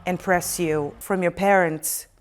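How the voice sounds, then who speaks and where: A woman's voice: fairly low pitch, steady tone, slow. Wry woman hosting a talk show. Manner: probing, wry questions. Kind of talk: studio panel talk.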